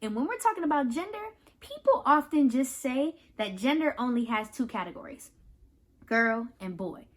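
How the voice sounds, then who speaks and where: Woman, high-pitched voice, very lively intonation, children's read-aloud, instructive, speaks directly to young listeners. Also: normal speed. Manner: animated, instructive, addresses listeners with questions. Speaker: host reading a picture book aloud to children.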